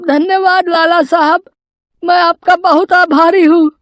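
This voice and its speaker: A woman voicing a poor, humble, anxious village farmer in an animated moral story, with a hesitant, pleading manner. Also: very high-pitched, measured pace, dry recording, studio quality, voice pushed up.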